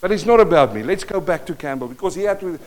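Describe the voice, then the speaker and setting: A man from South Africa with an Afrikaans accent, preaching a sermon to a congregation and telling a personal story with animated bursts. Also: high voice, fast talker. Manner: storytelling, animated bursts, moves around the stage. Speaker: pastor. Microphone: worn or handheld mic that cuts in and out while he moves.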